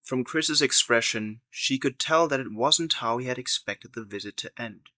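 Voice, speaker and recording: A man's voice: mid-range voice, normal speed, sharp tone, no echo. A man narrating a scripted story in the third person as the narrator of an audio drama.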